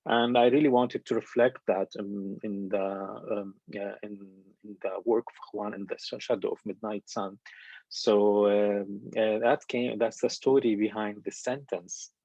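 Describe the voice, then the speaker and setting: A man from Syria talks through his answers in a low voice, pausing often with fillers and restarting his sentences. The sound is dry and close, with a slightly dull, muffled tone. He has a thoughtful, searching way of speaking. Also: fairly even intonation, darkish tone, no room sound. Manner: hesitant, many fillers and restarts. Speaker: guest, documentary narrator. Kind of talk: conversational panel interview.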